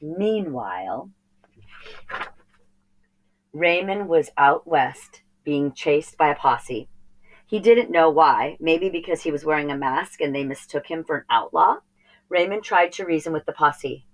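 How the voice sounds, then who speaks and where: A woman with a low-pitched voice narrates a children's storybook. She reads aloud expressively, telling the tale for young listeners. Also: lively intonation, a little slow, rough recording. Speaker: storybook reader.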